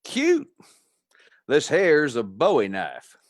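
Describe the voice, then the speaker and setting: A man playing a brash, gutsy adventurer in a radio play, bold, cocky and excitable. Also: mid-range voice, takes it slowly. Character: brash, gutsy male adventurer with Bowie knife.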